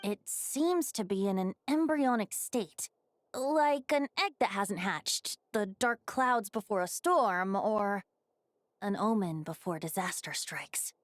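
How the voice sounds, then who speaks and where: An actress voicing a playful young male bard in a game. He speaks slowly and lightly, and his voice rises and falls freely. The sound is close and dry, with soft music underneath. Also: medium pitch, expressive, no room sound. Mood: ominous. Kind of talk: video game cutscene dialogue.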